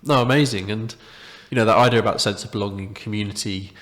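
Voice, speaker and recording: A man hosting a podcast, asking questions in a friendly, halting way. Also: fairly low pitch, steady tone, a bit bright. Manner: friendly, halting, repeats words. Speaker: podcast host. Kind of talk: interview questions. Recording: echoey room with long reverb.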